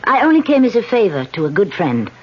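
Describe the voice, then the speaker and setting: A woman's voice: medium pitch, very animated, fast. An actress playing an earnest, principled female political candidate in a 1970s radio drama, speaking firmly. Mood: wary. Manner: earnest, firm. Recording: dull vintage radio broadcast.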